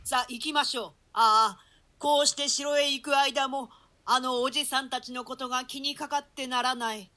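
A woman's voice: high voice, fairly even intonation, normal speed, pushed and bright. A woman performing a dignified, melancholy young prince in a theatrical Shakespeare reading. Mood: earnest, uneasy. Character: dignified, melancholy boy heir to the throne. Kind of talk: theatrical Shakespeare reading in archaic Japanese translation.

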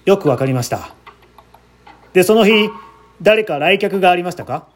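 Man playing a calm, lower-voiced shrewd police inspector. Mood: probing. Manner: measured, probing, composed.

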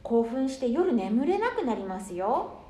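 Radio drama wife, female actor: polite, calming, conciliatory, high register, reverberant room. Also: unhurried. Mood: soothing, concerned. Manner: polite, soothing. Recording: echoey room.

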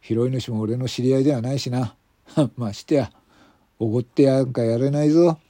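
男性が演じる かすれ声のぶっきらぼうなベテラン刑事. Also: male voice, mid-range voice, fairly even intonation, moderate pace, dark tone, dry recording, studio quality, close to an everyday voice. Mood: teasing, blunt. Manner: blunt, admonishing, rough masculine speech. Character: stern senior male police detective, gruff and commanding.

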